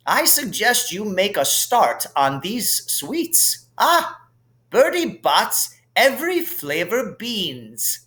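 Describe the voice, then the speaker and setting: A man voicing an elderly, genial, whimsical wizard headmaster who muses in a dreamy, unhurried way. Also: high voice, huge pitch swings, bright, forceful tone, pitched above the performer's own voice, full character voice. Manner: unhurried, musing.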